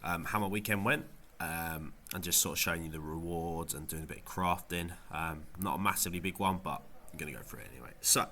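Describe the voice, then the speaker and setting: A deep-pitched man whose voice comes out nasal from a head cold. His tone is bright and forward, and he talks through his results in a loose, rambling way, full of ums and false starts. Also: fast talker, hardly any pauses, a little hiss or hum. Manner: rambling, lots of ums and restarts. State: has a cold, sounds nasal. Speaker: fantasy football video creator. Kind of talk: casual gameweek review vlog.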